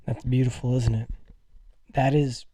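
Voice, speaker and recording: A man giving casual gaming commentary to viewers in an excitable, gushing manner, as the host of a news video. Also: medium pitch, unhurried, husky, dry recording.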